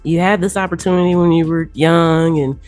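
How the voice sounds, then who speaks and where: Relaxed woman hosting a podcast. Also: fairly low pitch, brisk, no echo, faint music behind. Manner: relaxed and reflective, asks long questions, laughs along. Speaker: podcast host. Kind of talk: casual interview conversation.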